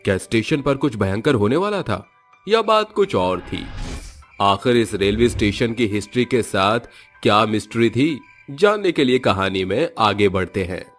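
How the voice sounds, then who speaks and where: A man's voice: lowish voice, animated, moderate pace, fairly bright tone, soft background music. A man delivering dramatic scripted narration for a cartoon horror story.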